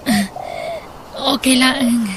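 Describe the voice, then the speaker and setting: A woman's voice: a little high, steady tone, drawn-out words, background noise. An actress playing a meek, long-suffering wife and mother in an Ilocano radio drama, hesitant and subdued, with an Ilocano accent. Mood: subdued, resigned.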